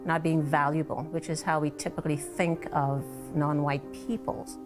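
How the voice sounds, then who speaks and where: Woman in a documentary interview, quite low voice, explains things carefully, music playing behind. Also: flat delivery, moderate pace. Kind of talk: documentary interview commentary on environmental racism. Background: instrumental music.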